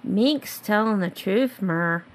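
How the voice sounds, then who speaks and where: A woman's voice: medium pitch, lively intonation, takes it slowly. A woman playing a careful, hesitant schoolgirl superhero sister in a radio drama. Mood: shaken.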